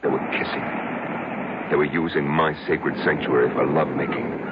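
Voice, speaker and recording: An actor playing a solitary, obsessive middle-aged recluse confessing to his doctor in 1970s radio drama style, halting and deliberate. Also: rumbling low, flat delivery. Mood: tense, bitter. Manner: halting, deliberate, brooding monologue. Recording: muffled, noisy old radio broadcast recording.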